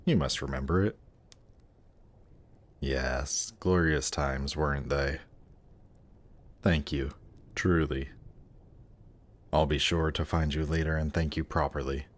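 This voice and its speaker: A male actor performs a suave demon prince in a fantasy roleplay drama. His voice is exceptionally deep and he takes his time over every phrase. He sounds polished and regal, with a measured, condescending courtliness. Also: steady tone. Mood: gracious, pleased. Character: suave, haughty demon prince ruling a circle of Hell.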